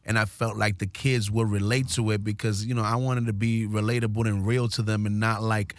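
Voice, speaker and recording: A man, a guest in a studio, telling stories of his youth in a relaxed way full of "you know" and "like". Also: lowish voice, fairly quick, no echo. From New York, USA. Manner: laid-back, many "you know" and "like" fillers. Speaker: guest, business founder. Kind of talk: casual storytelling.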